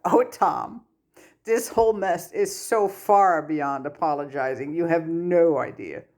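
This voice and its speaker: A woman voicing a stern but fond male teacher in a story reading, firm, measured and dryly patient. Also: on the low side, darkish tone, close to an everyday voice. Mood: fond, amused.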